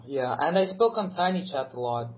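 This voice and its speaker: A man's voice: fairly high pitch, animated, normal speed. Teasing young man, muffled lo-fi. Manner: joking, teasing banter. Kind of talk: casual chat among friends. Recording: muffled lo-fi sound.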